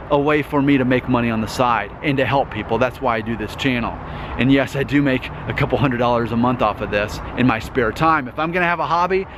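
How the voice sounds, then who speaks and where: Earnest man talking over traffic noise. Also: mid-range voice. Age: 30s. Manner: earnest, repeats himself, says "okay?". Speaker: YouTube host. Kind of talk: talking-head vlog monologue. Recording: noisy outdoor recording with traffic.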